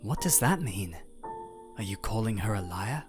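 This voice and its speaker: A man plays a young male pony craftsman in an audio story, earnest and quick to defend himself. His pitch moves around a lot. Music is clearly heard behind him. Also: expressive, close to an everyday voice. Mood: defensive. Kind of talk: audio story character dialogue.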